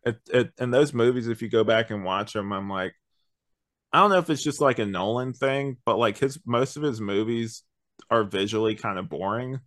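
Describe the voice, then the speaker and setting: A man speaks at a middle pitch in a casual podcast chat. He is opinionated and rambles through his points in loose, slangy language, like a co-host reviewing with a friend. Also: normal speed, a bit bright.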